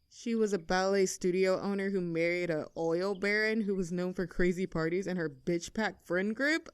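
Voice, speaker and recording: A woman's voice: on the high side, darkish tone, no room sound, studio quality. A woman playing a young, teasing mean-girl student in a scripted audio roleplay, with a mocking, sarcastic, haughty manner. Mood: teasing, amused.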